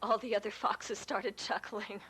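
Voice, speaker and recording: A woman narrates a fable for children. She speaks as a storyteller, with a voice that sits at an average pitch for a woman. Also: steady tone, measured pace, a bit bright, slightly rough, poor audio.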